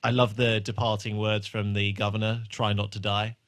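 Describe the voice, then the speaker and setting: A man's voice: lowish voice, level tone, moderate pace, fairly bright tone. A man hosting a podcast in casual conversation, feeling his way through sentences with plenty of stops. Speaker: podcast host. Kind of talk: casual chat on a TV re-watch podcast.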